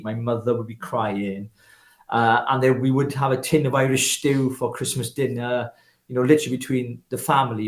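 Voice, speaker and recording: A man's voice: mid-range voice, echoey room. A Welshman in his fifties, a guest telling his life story in an earnest, personal way with many restarts. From Wales. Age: 50s. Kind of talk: casual interview talk.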